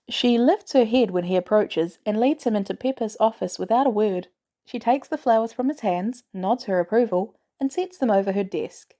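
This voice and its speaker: Mellow woman narrating a story. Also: medium pitch. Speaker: podfic reader. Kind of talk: scripted narration of fan fiction.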